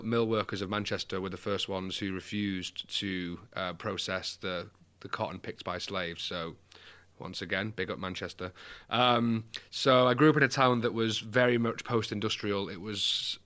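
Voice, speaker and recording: A man's voice: fairly low pitch, fairly even intonation, moderate pace, pushed and bright. A young man with a Mancunian accent, speaking candidly and with self-deprecating humor as an interview guest over a video call. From England. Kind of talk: conversational interview.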